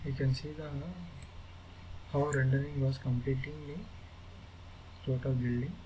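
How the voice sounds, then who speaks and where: A man with an Indian English accent walking through a software tutorial, speaking haltingly as he clicks through steps. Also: medium pitch, little pitch movement. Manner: halting, thinking aloud as he clicks. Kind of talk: screen-recorded software tutorial. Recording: noisy screen recording.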